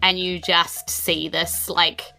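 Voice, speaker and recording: A woman's voice: on the low side, level tone, slow, vocal fry, bright, forceful tone, soft background music. A woman with a New Zealand accent narrating a tabletop fantasy game as game master, descriptive and conversational.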